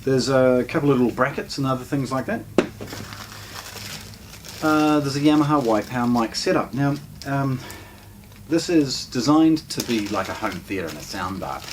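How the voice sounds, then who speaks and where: A man presenting an unscripted product unboxing, speaking haltingly with drawn-out pauses in the middle of sentences. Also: mid-range voice. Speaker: presenter of a shop's unboxing channel. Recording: noisy, distant mic, handling clicks.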